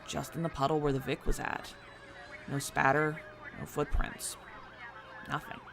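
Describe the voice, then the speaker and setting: A man's voice: on the high side, flat delivery, unhurried, gravelly. A man playing a frank, casual police officer briefing a superior in an audio drama, matter-of-fact and conversational. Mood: sober. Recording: noisy scene, siren ambience behind.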